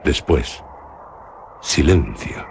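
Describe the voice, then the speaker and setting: A man with a Spanish accent narrates a horror radio play with an extremely deep voice. His delivery is slow, grave and measured, pausing on each phrase. A clear hiss runs under the recording. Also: animated. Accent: Spain. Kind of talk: suspenseful radio drama narration.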